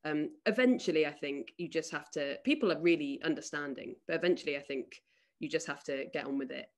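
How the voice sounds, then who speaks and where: Lower-pitched young woman, casual host. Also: a little fast. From Wales. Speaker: host and charity chair. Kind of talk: casual group chat. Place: remote group video call.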